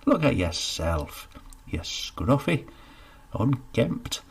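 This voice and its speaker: A man's voice: medium pitch, big pitch swings, drawn-out words, gravelly, a little hiss or hum, pitched above the performer's own voice, heavily acted. A man voicing a bad-tempered baker, blunt and scolding, cursing freely.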